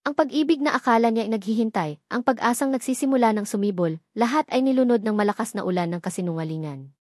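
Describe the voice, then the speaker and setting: A Filipino woman narrates a dramatic love story in a solemn, melodramatic way. Her voice sits fairly high and is recorded close and dry, with little room sound. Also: fast talker, darkish tone, no echo.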